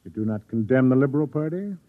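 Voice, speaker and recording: An actor playing a stern, suspicious government interrogator, formal and insinuating, in classic radio drama style. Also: male voice, medium pitch, lively intonation, quick pace, natural voice. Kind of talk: old-time radio drama acting. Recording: thin phone-like old radio recording.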